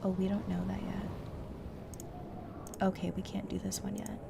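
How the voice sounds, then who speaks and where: A woman doing casual let's-play commentary, thinking aloud in a halting, self-questioning way. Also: medium pitch, monotone, vocal fry, very noisy. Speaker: host playing a video game.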